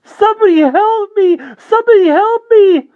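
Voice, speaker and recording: A man voicing a terrified chubby boy who sobs and begs in shrill, wailing pleas, recorded dull and dry. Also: normal speed, dry recording. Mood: panicked begging. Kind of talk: audiobook character voice.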